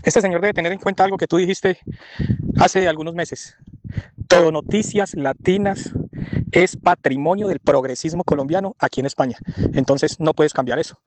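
Male caller, fairly high voice, firm and declarative, unscripted radio opinion. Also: normal speed, dry recording, low-quality recording. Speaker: radio call-in listener. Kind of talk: spontaneous opinion.

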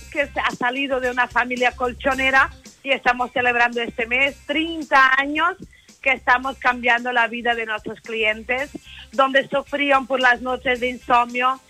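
A woman from Portugal with a Portuguese accent, a company's commercial director, giving an upbeat sales pitch as a radio guest. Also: bright, forceful tone, poor audio. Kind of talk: promotional radio call-in.

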